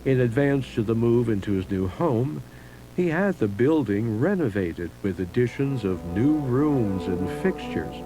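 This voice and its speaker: A man giving a measured, solemn scripted narration of eerie local history, like a documentary host. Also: mid-range voice, poor audio. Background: music under the voice.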